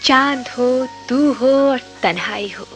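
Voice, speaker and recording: A woman's voice: a little high, slow pace, over music. A woman acting a playful, witty young friend in a radio drama, with a teasing, theatrical, poetic way of talking. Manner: teasing, verse-quoting, theatrical.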